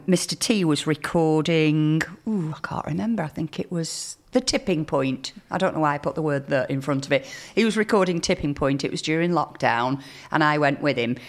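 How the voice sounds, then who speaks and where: A woman hosting a local radio show, chatting casually, reading out listener texts and laughing often. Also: low voice. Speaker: radio host.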